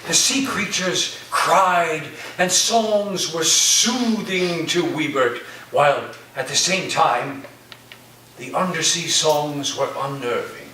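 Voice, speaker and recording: Eccentric professor, played by a male stage actor: high, bright voice, slow grandiose dreamy delivery, roomy distant pickup. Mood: dreamy, solemn. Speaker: actor in a staged play reading. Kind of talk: theatrical staged reading. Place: echoey room at a live staged reading. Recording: roomy, distant mic, faint noise.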